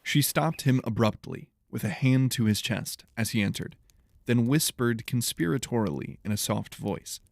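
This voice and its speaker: A man narrating scripted fiction as an audiobook, in a measured storytelling manner. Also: mid-range voice, animated, creaky, no room sound, clean studio sound.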